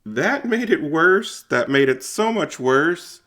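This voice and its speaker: A man's voice: fairly high pitch, big pitch swings, slow pace, pushed and bright. A man performing first-person audio drama narration and dialogue in an informal, hesitant way, as if thinking aloud.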